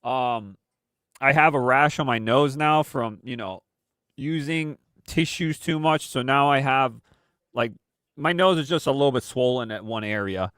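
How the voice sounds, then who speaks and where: A man's voice: medium pitch, measured pace, a bit bright, smooth, no room sound, clean studio sound. A man hosting a weekly live stream with casual, rambling commentary full of fillers. He sounds congested from a cold he is still getting over. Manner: rambling with many fillers and restarts.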